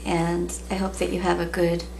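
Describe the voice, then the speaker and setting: A woman in her thirties chatting casually in a relaxed way with many ums, recorded at home on a camera at a table. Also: fairly low pitch, normal speed, vocal fry. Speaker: vlogger. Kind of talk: casual haul video chat. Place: small room at home, indoors. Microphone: camera in front at table. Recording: noisy home webcam recording.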